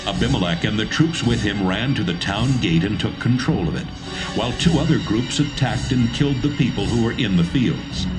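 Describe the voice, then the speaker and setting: A man narrating a dramatised Bible reading in a steady, measured way. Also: on the low side, poor audio. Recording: narration over music.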